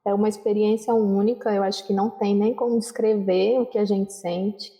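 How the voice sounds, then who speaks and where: Relaxed woman guest with a mellow voice. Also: medium pitch, brisk. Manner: relaxed, rambling, tag questions "né". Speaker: guest, social worker. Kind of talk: casual interview storytelling.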